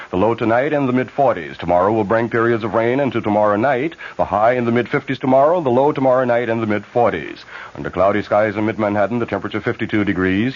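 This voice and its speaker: A dull, lo-fi 1970s radio recording carries an American man reading the news. He has a mid-pitched voice and the formal delivery of a bulletin newscaster.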